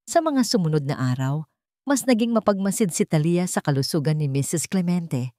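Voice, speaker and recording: Expressive lower-voiced woman narrating drama. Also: moderate pace, no echo, studio quality. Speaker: story narrator.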